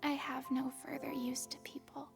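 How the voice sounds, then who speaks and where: High, creaky-voiced, haunted young woman. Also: level tone, normal speed. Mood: melancholy. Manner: confessional, formal, wistful, breathy at phrase ends. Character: former stand-in god, young woman, lonely, haunted, theatrical. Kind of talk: anime game voice acting. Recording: music behind. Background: game music.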